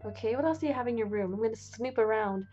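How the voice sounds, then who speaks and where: A woman's voice: on the high side, quick pace, mellow, muffled recording, soft background music. A young woman hosting a let's play, reading the game's text aloud and reacting casually, with frequent swearing. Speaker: gaming channel host.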